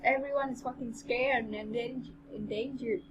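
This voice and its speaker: A woman's voice: on the high side, fairly even intonation, normal speed, muffled recording. Playful woman reading aloud, noisy recording. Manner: informal, playful. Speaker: co-host and reader. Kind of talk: novel read-aloud with casual, joking commentary.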